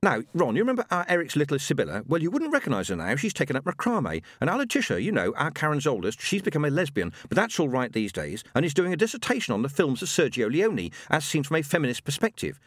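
A man's voice: on the high side, wildly swooping pitch, fast, hardly any pauses, dry recording, clean studio sound, pitched above the performer's own voice. A man voicing a gossipy older widow who rambles on about family news at a seance. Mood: chatty, eager.

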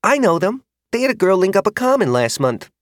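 A man putting on a flustered young woman who rides dragons, high and breathless, apologetic and quick to reassure, her voice thinned and shifted away from his own timbre. The recording is dry and close. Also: dry recording. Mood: kind. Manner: anxious, apologetic, quickly talking herself calm. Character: young female dragon rider, flustered but kind. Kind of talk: audiobook character voice.

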